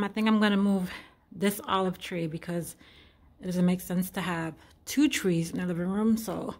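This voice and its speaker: A young woman chatting casually to her viewers while decorating her home, laughing at herself and calling them y'all. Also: mid-range voice. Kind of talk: casual home vlog chat. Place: bright home, living room and stairway.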